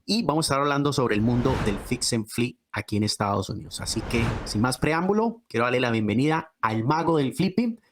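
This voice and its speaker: A man's voice: medium pitch, lively intonation, brisk, few pauses, fairly bright tone, a little hiss or hum. A man hosting a podcast in a conversational style, addressing guest and listeners directly. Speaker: podcast host. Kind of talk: conversational podcast interview and promotion.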